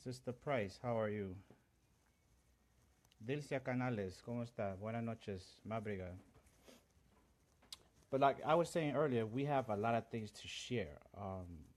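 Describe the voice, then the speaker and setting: A man's voice: mid-range voice, unhurried, pauses often, a little mellow. A man from Honduras whose first language is Garifuna, hosting a casual live-stream chat, earnest, greeting viewers by name and mixing in Spanish greetings. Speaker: livestream host.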